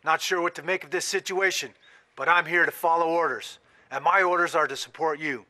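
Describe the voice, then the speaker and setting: An actor playing a loyal, respectful military officer in a video game cutscene. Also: male voice, on the high side, fairly even intonation, a little fast, sharp tone. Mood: dutiful, uneasy. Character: dutiful, loyal military officer, adult man.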